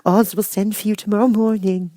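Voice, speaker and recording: A man voicing a sweet, polite young girl in a fairy-tale audiobook, gentle and courteous. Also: high-pitched, level tone, brisk, no echo, pitched above the performer's own voice, close to an everyday voice. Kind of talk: audiobook character voice.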